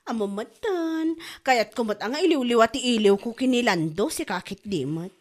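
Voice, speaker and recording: A woman's voice: on the high side, animated, moderate pace. A woman with an Ilocano accent playing a chatty, feisty young waitress in a comedy audio drama.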